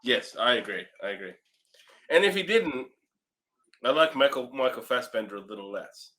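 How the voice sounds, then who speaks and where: A man's voice: medium pitch, lively intonation, normal speed, sharp tone. A man co-hosting a movie review show in casual, conversational style.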